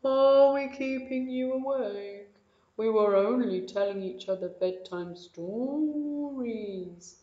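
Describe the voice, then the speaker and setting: A woman voicing a gentle, kindly cow. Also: a little high, slow, voice pushed up. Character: soft-eyed mother cow, gentle and kind. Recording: dull, roomy echo.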